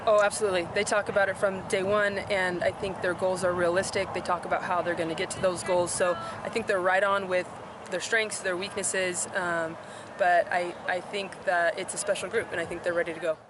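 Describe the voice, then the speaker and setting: Female coach amid clear outdoor noise. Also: on the low side, flat delivery, brisk. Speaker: women's soccer head coach. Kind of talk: informal interview.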